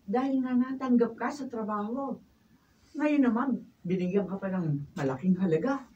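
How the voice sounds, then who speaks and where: Disapproving, nagging wife played by a woman, high voice, radio drama, muffled recording. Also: lively intonation, moderate pace, mellow, distant mic, lightly acted. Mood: reproachful. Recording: muffled off-mic room recording, noise, clicks.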